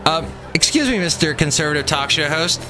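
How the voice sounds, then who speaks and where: A man hosting a comedy radio show with playful, rambling banter. His voice sits a bit high and moves around animatedly, over music. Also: expressive, a little fast.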